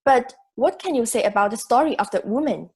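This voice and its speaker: A young woman playing a logical, self-assured juror in an amateur audio drama, speaking in a measured, articulate way. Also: fairly high pitch, animated, slightly rough. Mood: insistent. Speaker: student actor in school drama class.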